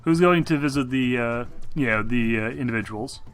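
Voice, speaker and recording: A man acting as game master, narrating and ruling on a tabletop game in halting, improvised phrases. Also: medium pitch. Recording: music mixed behind voice.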